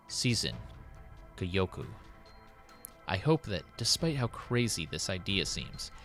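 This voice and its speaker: A low male voice put on by a narrator as a burdened city president in a story. He is formal and measured, dictating a solemn diary with dutiful worry, over soft background music. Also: full character voice. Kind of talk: dramatic in-character diary reading.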